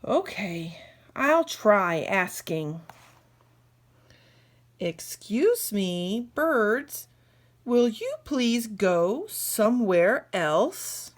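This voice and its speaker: Woman as worried elephant, exasperated, emphatic, very slow delivery, children's storybook. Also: mid-range voice, sing-song. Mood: hesitant, polite. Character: Gerald, anxious, exasperated elephant. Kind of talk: children's storybook read-aloud.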